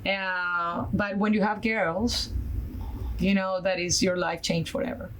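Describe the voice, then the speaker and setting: A woman from Colombia speaks English in a Colombian Spanish accent. Her voice sits low, and she talks earnestly, stopping often to find words. Faint noise runs under the recording. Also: little pitch movement, fairly bright tone, low-quality recording. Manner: earnest, halting, many fillers. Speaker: guest, nonprofit founder. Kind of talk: podcast interview, personal story.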